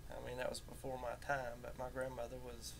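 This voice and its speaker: Southern appalachian guy, mild drawl, just chatting, folksy n halting, bit of rumble in the background. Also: completely flat delivery, vocal fry. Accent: Southern Appalachian American, somewhat softened. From rural Southern United States, southern Appalachia. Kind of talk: casual unscripted personal talk. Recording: rumbly home recording with background noise.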